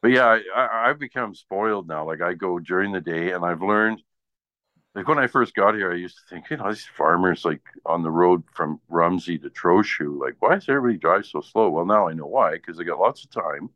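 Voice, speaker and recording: A Canadian man with a low voice tells casual stories in a blunt, profane way. He sounds exasperated as he rambles on. The audio is dull and lo-fi, with the echo of a room around him. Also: brisk. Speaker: radio presenter. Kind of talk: casual chat, ranting anecdotes.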